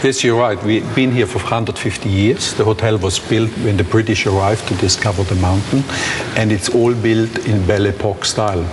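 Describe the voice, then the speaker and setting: Polite, low-voiced hotel manager, man. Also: fairly even intonation, moderate pace, background noise. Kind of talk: interview answers.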